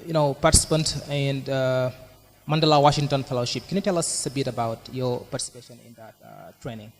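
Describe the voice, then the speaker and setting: A man from Senegal hosting a talk show, asking questions in a halting way and searching for his words. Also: mid-range voice. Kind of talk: casual interview.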